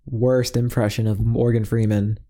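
Young man, casual podcast chat, mellow dark tone, rambling, headset mic. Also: medium pitch, flat delivery, normal speed. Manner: rambling, many "like" fillers, swears freely. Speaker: podcast co-host.

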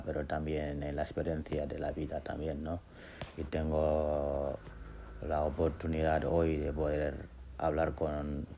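Man from Gambia, very deep flat voice, slow halting speech, dull lo-fi recording with faint hiss. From Gambia and Senegal. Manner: halting, many fillers and restarts. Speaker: podcast host telling his life story. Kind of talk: casual personal monologue. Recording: dull lo-fi sound with faint noise.

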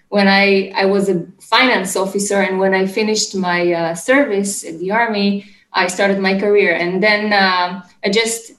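A woman's voice: medium pitch, a bit bright. Middle-aged Israeli woman, roomy sound. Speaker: interview guest, startup executive. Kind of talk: conversational interview answers. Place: home office, remote call.

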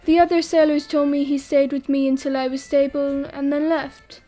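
Wry high-voiced exiled woman. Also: level tone, normal speed, dark tone, soft background music. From France. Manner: wry, self-mocking, laughs while talking. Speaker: exile interviewed about a disaster. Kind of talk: acted monologue in an audio drama.

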